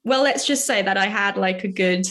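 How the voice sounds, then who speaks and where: A woman's voice: mid-range voice, normal speed, a bit bright. A young woman from Hungary, a dancer and choreographer, talking casually as a podcast guest and often searching for words with fillers. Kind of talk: casual interview chat.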